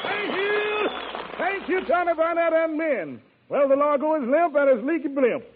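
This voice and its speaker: A man's voice: very high-pitched, lively intonation, normal speed, phone-quality sound, very noisy. An American man hosting a 1940s radio show in showy, rhyming hep-cat slang on a vintage broadcast recording. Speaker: radio show host and announcer. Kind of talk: 1940s radio jive-talk emceeing.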